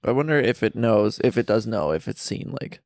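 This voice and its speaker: Man, gravelly, mid pitch, joking banter, dry close sound. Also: lively intonation, brisk, a little mellow, no room sound. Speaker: podcast co-host. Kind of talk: casual chat. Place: friend's basement.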